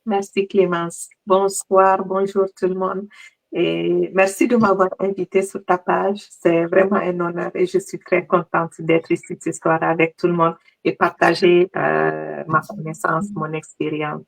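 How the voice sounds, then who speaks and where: A middle-aged woman from Mali, a guest coach, answering interview questions in a lively, conversational way. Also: fairly low pitch, unhurried, gravelly, rough recording.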